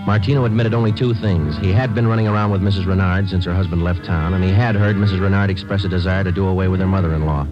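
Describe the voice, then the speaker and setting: An actor with an American accent playing a terse, level-headed police detective sergeant in a 1950s radio drama, clipped, deadpan and businesslike. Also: male voice, sits low, fast talker, hardly any pauses, music in the background, lightly acted. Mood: matter-of-fact. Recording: dull vintage radio recording.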